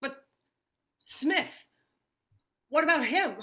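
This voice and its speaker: Woman acting a slow, high-voiced composed nurse. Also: expressive, bright, forceful tone, heavily acted. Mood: probing. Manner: measured, deliberate. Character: composed female nurse, politely insistent. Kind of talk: 1940s radio drama acting.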